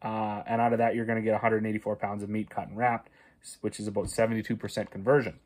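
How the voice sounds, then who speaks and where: Casual farmer vlogger, thirties, from Canada; chatty and rambling, with fillers and restarts, filmed on a phone in a small paneled room. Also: male voice, fairly low pitch, level tone, quick pace, a little mellow. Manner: conversational, many fillers and restarts, stresses prices. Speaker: farmer and video host. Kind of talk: casual talking-head explainer. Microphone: phone camera at arm's length.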